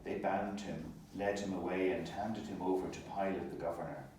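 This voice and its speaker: A man reading a Gospel solemnly as narrator, in a measured, formal manner, as clergy do in worship. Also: low voice, steady tone, darkish tone. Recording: echoey, distant, faint background noise. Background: passing hospital noise.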